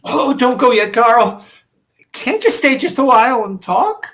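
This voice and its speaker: Woman doing weepy needy old dad in a play reading, slow n plaintive, super swoopy pitch. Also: very animated, fairly bright tone. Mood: wounded. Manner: plaintive, drawn-out, wounded delivery. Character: needy, weepy, easily hurt ageing father and husband. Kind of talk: amateur staged play reading.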